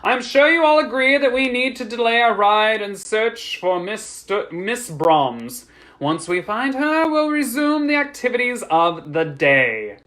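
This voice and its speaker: Woman voicing a formal, commanding gentleman, pitched up. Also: sing-song, a little slow. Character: composed, authoritative gentleman master of house.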